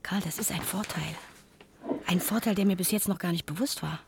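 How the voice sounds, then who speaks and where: An earnest, low-voiced mother writing home. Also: fairly quick, gravelly, noisy background. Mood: quietly hopeful. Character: earnest mother reading her letters to her son. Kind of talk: German radio play monologue, letter narration.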